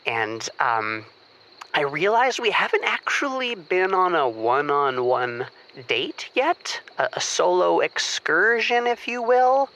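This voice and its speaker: An actor playing an earnest, lovestruck boyfriend who hesitantly and wordily phones a comedy advice show. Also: male voice, high-pitched, big pitch swings, pushed and bright, slight background noise, full character voice, poor audio. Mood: smitten, awkward. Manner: hesitant, halting, wordy. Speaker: caller. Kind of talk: improvised comedy call-in.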